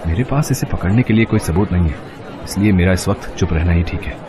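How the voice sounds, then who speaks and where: A man's voice: low-pitched, fast talker, crowd noise, noisy background, natural voice. A man voicing a hardworking young egg seller in a storybook tale, dramatic and earnest. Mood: brooding.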